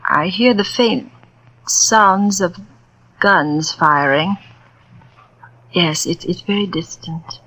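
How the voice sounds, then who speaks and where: A theatrical spiritualist medium. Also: female voice, on the low side, bright, forceful tone, husky, lo-fi sound, heavily acted. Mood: trance-like. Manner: intimate, theatrical, drops to whispers. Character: persuasive spiritualist medium woman. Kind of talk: 1940s radio acting.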